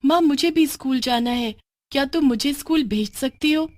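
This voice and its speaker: A woman voicing a young half-goat witch girl, an animated, eager daughter in a storybook cartoon. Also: high-pitched, normal speed, no echo, pitched above the performer's own voice, natural voice. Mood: pleading. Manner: excitable, pleading, turning gleefully menacing.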